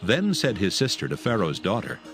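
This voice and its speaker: A man's voice: fairly low pitch, huge pitch swings, normal speed, a bit bright. A man narrating scripture as storyteller in a dramatized audio production. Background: music.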